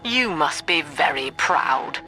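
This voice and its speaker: An actress playing a cold, middle-aged female cult leader and embittered mother in a video game, speaking in measured, severe, articulate monologues. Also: sits low, lively intonation, music in the background. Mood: condemning, grave.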